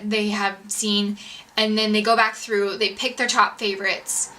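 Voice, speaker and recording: A woman's voice: mid-range voice, pushed and bright. A woman in her twenties chatting casually as a vlogger, telling a personal story in an informal, self-deprecating, slangy way. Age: 20s. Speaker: YouTube vlogger. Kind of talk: casual storytime chat to camera. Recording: distant mic with faint background noise.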